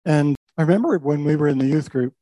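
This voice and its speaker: An older man, a pastor, recounts his own story in a warm, mellow voice. He talks reflectively, with small restarts along the way. The sound is close and dry. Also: a little high, quick pace, dry recording, poor audio. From Canada. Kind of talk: personal testimony talk.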